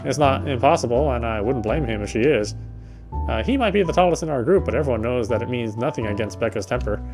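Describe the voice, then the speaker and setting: A man's voice: mid-range voice, expressive, fast talker, over music. A man reading visual novel text aloud as he plays, stumbling and rereading now and then with casual asides. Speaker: game streamer reading aloud.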